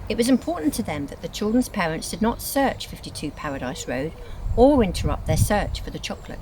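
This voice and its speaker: A woman reads scripted storybook narration in an expressive, dramatic storytelling manner. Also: medium pitch, fairly quick, a little hiss or hum, rough recording. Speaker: reader of a children's book.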